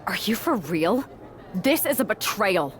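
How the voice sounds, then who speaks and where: A woman's voice: medium pitch, sing-song, unhurried. A woman playing a wary, easily affronted wrestling authority figure in a video game cutscene. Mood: indignant.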